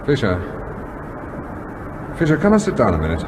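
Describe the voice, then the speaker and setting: An actor playing a grave submarine commander in a radio drama, questioning with measured authority. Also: male voice, medium pitch, huge pitch swings. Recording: radio drama with clear background noise.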